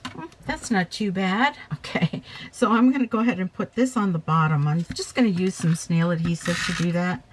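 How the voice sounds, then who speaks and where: Woman host of a home craft tutorial, chatty and self-correcting, a bit hoarse, lower voice, faint background hiss. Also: normal speed. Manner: chatty, talks while working, self-correcting. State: slightly hoarse, coming down with a cold. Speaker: paper craft demonstrator.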